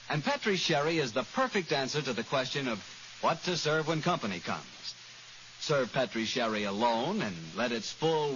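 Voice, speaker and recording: An American man announces for a vintage radio show with an animated, emphatic sales delivery. His mid-pitched voice comes through clear hiss on a muffled recording. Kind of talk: 1940s radio sponsor pitch.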